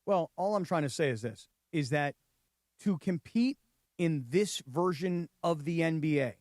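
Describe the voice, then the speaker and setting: A male sports radio co-host with a notably high voice, recorded dry in the studio. He hammers his points home, repeating lines for emphasis in a casual debate. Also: animated, a little slow, a little mellow, dry recording.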